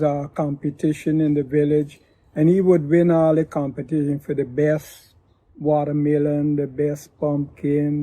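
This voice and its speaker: An older man from Guyana, a pastor telling his daughter his life story in a slow, deliberate way. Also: a little high, mellow, dry recording. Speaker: pastor and father of the host. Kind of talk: reflective family interview.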